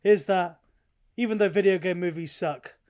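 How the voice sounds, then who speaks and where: A British man hosting a casual podcast, chatting in an animated, sweary, bantering way. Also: high-pitched, normal speed. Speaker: podcast host. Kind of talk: casual chat.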